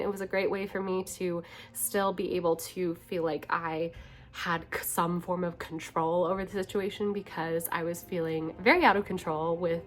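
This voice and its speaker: A young woman talks to her camera in a loose diary-style vlog, her voice nasal and blocked up from a cold. Her pitch sits mid-range for a woman, and she chats softly with little laughs between phrases. Faint music plays behind her. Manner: chatty, soft-spoken, often laughing between phrases. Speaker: vlogger.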